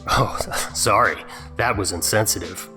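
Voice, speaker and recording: A man's voice: on the low side, a little slow, pushed and bright, faint music behind. A man hosting a dramatic reading, adding sarcastic, mocking commentary of his own. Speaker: host.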